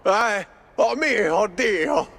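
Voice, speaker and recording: An actor playing an excitable, exasperated cartoon circus boss with an Italian accent, theatrical and exclamatory in a video game. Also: male voice, high voice, drawn-out words, full character voice. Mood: dramatic, distressed.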